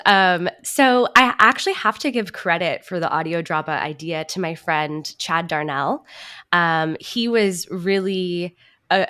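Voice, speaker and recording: A woman's voice: on the low side, a bit bright. A woman answering interview questions conversationally as a podcast guest. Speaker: podcast guest, actor and writer.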